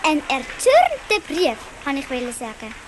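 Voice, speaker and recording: Very high, cheeky Swiss German girl. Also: huge pitch swings, moderate pace. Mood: pert, chatty. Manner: pert, chatty, forthright. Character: cheeky, confident young girl on a bicycle. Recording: noisy station ambience, old cassette.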